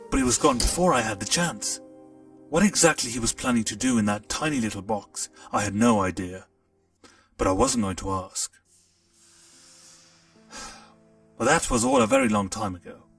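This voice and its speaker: A man narrating a short audiobook in the first person with an expressive storytelling delivery. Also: mid-range voice, moderate pace, creaky, pauses often. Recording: distant mic, faint music.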